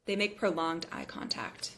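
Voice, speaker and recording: A woman's voice: sits low, normal speed, a bit bright. A woman reading scripted narration and stage directions at a table read.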